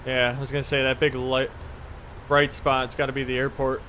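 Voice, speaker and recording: A man chats casually as he streams a flight simulator, rambling along in an offhand way with the odd mild swear. His voice sits at an average pitch, with a faint steady hum of airy cabin-like noise behind him. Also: normal speed, rough recording. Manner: rambling, offhand, mildly profane. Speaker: flight sim live streamer. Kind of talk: casual chat while playing a flight simulator.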